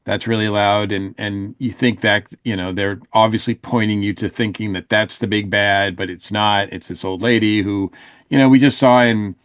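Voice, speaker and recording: A man on a podcast panel giving his opinions in a casual, halting, hedging way. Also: lowish voice, steady tone, fairly bright tone, no echo, clean studio sound. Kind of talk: casual review chat.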